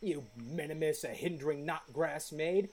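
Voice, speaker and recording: A woman's voice: low voice, normal speed, crowd noise, lightly acted. A woman acting a scornful, hot-headed young man in love, theatrical and mocking, in a Shakespeare radio play.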